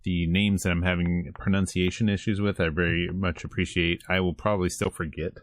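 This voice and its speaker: Low-voiced man playing a visual novel. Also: level tone, fairly quick, dry recording, studio quality. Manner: playful, casual asides between read lines. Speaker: host of a gameplay video series. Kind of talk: let's play, reading visual novel text aloud with casual asides.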